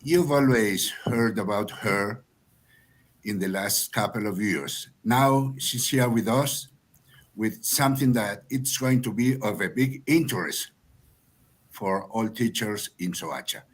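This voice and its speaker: Slow-speaking host. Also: male voice, lowish voice. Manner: slow, deliberate, non-native English. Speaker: event host. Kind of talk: introducing a speaker.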